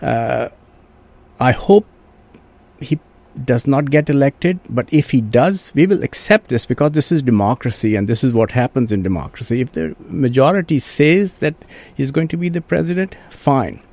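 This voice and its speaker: A man's voice: mid-range voice, animated, moderate pace, a little mellow. A man from Pakistan, a native Urdu speaker, answering radio interview questions as a guest in an earnest, thoughtful way, often restarting his sentences. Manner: earnest, thoughtful, with frequent restarts and "you know". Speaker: guest, orthopedic surgeon.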